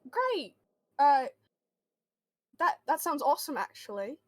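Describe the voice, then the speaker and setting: A woman playing a friendly but guarded boy who hears voices, halting and reassuring, in an improvised tabletop roleplay. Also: sits high, big pitch swings, slight background noise. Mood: awkward, friendly.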